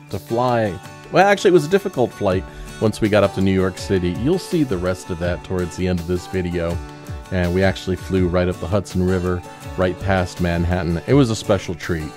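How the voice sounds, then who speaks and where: Male narrator, very low register, conversational storyteller, music bed underneath. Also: a little mellow. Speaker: narrator, pilot of volunteer animal rescue flights. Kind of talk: casual voice-over narration of a flight video. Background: background music.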